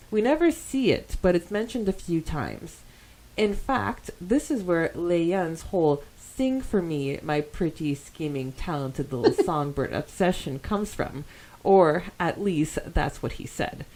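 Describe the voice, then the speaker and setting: A woman's voice: fairly low pitch, animated, unhurried, a little mellow, poor audio. A woman co-hosting a podcast, chatting casually and reading out fan comments with giggly enthusiasm. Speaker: podcast co-host.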